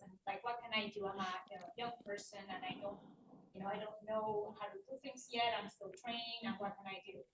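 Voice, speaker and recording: A woman in the audience asking a long question in a loud, earnest, rambling manner. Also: a little high. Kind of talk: spoken question from the floor. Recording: distant roomy audience mic with noise.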